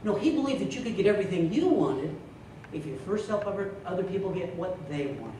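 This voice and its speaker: Male contest speaker, humorous tall-tale delivery, lively and theatrical, recorded from afar in a very echoey room. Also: high-pitched. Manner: theatrical, with drawn-out punchlines. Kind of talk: humorous storytelling speech to a live club audience. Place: meeting room before an audience. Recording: echoey room from far off, loud noise.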